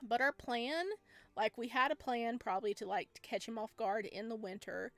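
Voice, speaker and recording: A woman's voice: on the high side, steady tone, moderate pace, fairly bright tone, no echo. A woman giving chatty, playful commentary on a playthrough, reading lines aloud as she goes. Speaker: game streamer. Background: game music.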